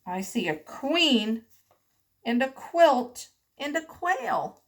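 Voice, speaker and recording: A woman preschool teacher reading the alphabet aloud to children in simple, deliberate, sing-song phrases with questions. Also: high-pitched, very animated.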